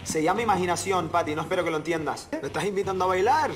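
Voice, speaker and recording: Actor playing a Canarian-accented flirty pirate chef. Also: male voice, high-pitched, very fast, natural voice. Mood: cocky. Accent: Canary Islands Spanish. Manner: cocky, teasing flirtation. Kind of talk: dubbed series dialogue.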